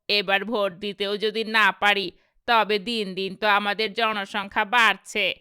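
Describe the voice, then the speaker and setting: Woman voicing a bright, very clear silly cartoon ghost. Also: mid-range voice, no room sound, studio quality. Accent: Bangladeshi. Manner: boastful, chatty, simple-minded. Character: silly, boastful, friendly ghost, not very scary. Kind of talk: cartoon character dialogue.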